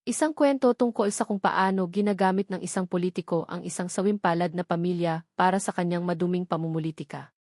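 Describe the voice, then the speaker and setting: A woman's voice: on the low side, brisk, darkish tone, dry recording, clean studio sound. A woman reading a scripted dramatic story as its narrator.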